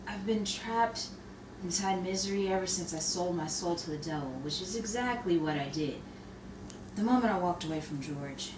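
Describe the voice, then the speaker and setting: A woman's voice: fairly low pitch, close to an everyday voice. An actress playing a tormented, regretful woman in a brooding, melodramatic radio monologue. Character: tormented, regretful woman who lost her love. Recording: roomy, distant, noisy live stage recording.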